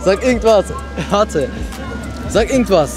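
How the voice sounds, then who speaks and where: A man's voice: high voice, lively intonation, a little slow, over music. A young man interviewing passers-by on a busy city square, joking and pushing them for answers. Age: young adult. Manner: pushy, jokey, slangy, keeps prodding for answers. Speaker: street interviewer and video host. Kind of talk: casual street interview. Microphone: handheld mic.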